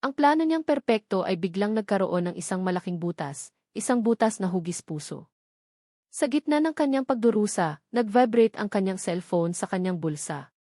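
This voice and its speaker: A woman's voice: medium pitch, animated, normal speed, dark tone, no echo, clean studio sound. A woman giving a scripted, dramatic narration of a romance story.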